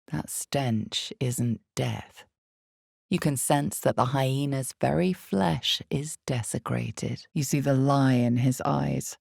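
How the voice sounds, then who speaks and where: A woman playing the ominous, measured storyteller of a dark fantasy game, narrating dramatically with deliberate, lingering pauses. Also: rumbling low, gravelly, no echo, studio quality, exaggerated voice.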